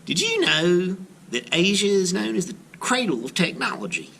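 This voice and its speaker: Eager, knowing storybook aunt, played by a man, raised pitch, very animated. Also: moderate pace, gravelly, heavily acted. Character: enthusiastic, knowledgeable visiting aunt. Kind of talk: children's storybook read-aloud.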